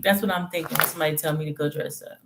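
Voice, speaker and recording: A woman's voice: low-pitched, steady tone, racing, heavy background noise. A woman giving live tarot readings in a candid, slangy, chatty way, thinking aloud with hums and trailing phrases. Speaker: tarot reader. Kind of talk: casual live-stream reading and chat.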